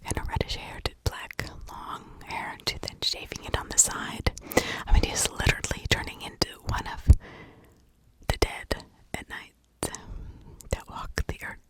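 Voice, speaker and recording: A woman whispers a true crime story in ASMR style, very unhurried. Her voice is breathy and unvoiced. She breaks her sentences into short, halting phrases with pauses between them. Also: rough recording.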